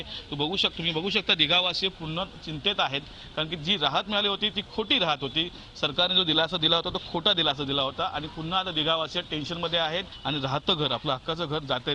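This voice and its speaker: A man's voice: fairly high pitch, sharp tone. A man giving an emphatic, repetitive news report on location as a field reporter. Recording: faint location noise.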